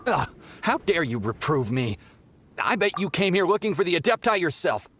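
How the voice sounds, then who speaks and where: An excitable young male pilgrim from an anime game, with a high voice and lively, theatrical swings. He sounds impatient and petulant, over slight background noise. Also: moderate pace. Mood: scornful. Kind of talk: anime-style video game acting.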